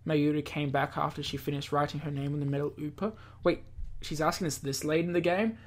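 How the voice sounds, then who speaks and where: A man's voice: fairly high pitch, steady tone, a little fast. A male gaming YouTuber reading a visual novel aloud and adding casual commentary. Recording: game music behind. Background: visual novel game music.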